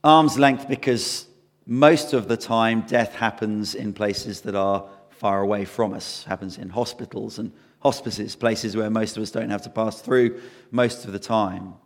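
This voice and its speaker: A man preaching a sermon and leading prayer, hoarse from losing his voice, in an earnest, conversational way. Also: on the low side, steady tone, unhurried, long reverb tail.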